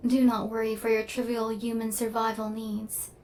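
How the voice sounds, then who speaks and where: A woman voicing a coldly logical but tenderly possessive female killer robot in an ASMR roleplay, with formal, deadpan, machine-like phrasing. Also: fairly high pitch, moderate pace, a little hiss or hum, close to an everyday voice. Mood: matter-of-fact, caring.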